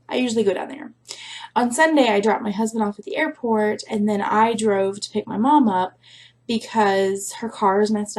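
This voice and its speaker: A woman vlogger chatting casually to her viewers, rambling with lots of fillers, her voice a little rough from a recent sore throat. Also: mid-range voice, darkish tone. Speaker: crafting vlogger. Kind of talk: casual chat to camera.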